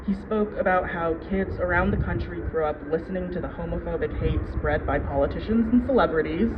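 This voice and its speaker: A man giving a prepared, heartfelt public speech outdoors, earnest and emphatic with deliberate pauses. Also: a little high. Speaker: community speaker at a ceremony. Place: outdoors at city hall. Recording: dull outdoor event audio with loud noise.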